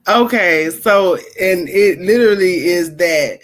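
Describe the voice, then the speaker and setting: A woman's voice: lowish voice, slow pace. A woman, guesting on a podcast over a video call and telling her story bluntly and plainly. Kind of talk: casual storytelling.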